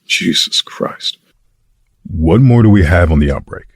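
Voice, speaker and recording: A man playing a grave, authoritative in an audio drama, speaking with measured weight. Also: deep voice, animated. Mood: alarmed, grave. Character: grave, secretive male President of the United States. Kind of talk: audio drama acting, briefing and formal speech. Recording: echoey, distant, faint noise.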